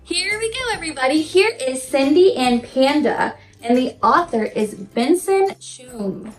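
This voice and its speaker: A woman's voice: high-pitched, animated, a little slow, reverberant, soft background music, rough recording. A woman teacher hosting a read-aloud storytime for young children, speaking in a sing-song, exaggeratedly enthusiastic way straight to the kids. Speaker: children's teacher and show host.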